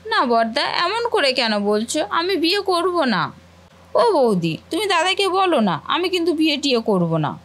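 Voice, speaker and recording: A woman's voice: high voice, big pitch swings, brisk, a bit bright. A woman voicing a sweet, coaxing college-age younger sister in a family cartoon. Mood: pleading. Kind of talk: Bengali family cartoon voice acting.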